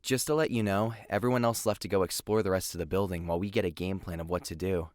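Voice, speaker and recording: A man's voice: low-pitched, steady tone, fast, darkish tone, dry recording, close to an everyday voice. Man playing a cool, wisecracking youth, dry recording. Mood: reassuring. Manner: teasing, sardonic, foul-mouthed. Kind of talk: anime-style audio drama acting.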